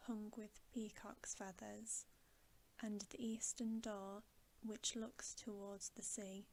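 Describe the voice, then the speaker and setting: A woman gives a hushed ASMR bedtime reading of a children's fantasy book. She goes very slowly and evenly, often dropping into a whisper. A steady hiss sits behind her voice. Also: medium pitch, rough recording. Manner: soft, hushed, often whispering, slow and deliberate. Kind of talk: ASMR bedtime story reading, scripted narration.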